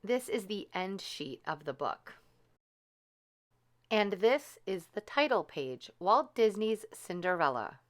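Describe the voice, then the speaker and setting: Unhurried, animated woman storyteller. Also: mid-range voice. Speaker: storybook reader. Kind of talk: expressive children's read-aloud narration.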